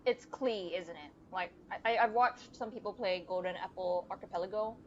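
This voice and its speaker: A woman's voice: mid-range voice, moderate pace, a little hiss or hum. A young woman giving casual, joking game commentary as a streamer, on a slightly dull lo-fi microphone. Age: young adult. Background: game music.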